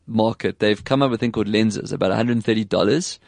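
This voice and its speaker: A middle-aged man with an English South African accent talks tech in a loose, chatty way, his voice sitting a little low. He is recorded close up in a dead, dry space with no room sound. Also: fast talker, creaky, dry recording. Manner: chatty, animated, puts on voices when quoting. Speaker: podcast host. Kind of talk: casual tech chat.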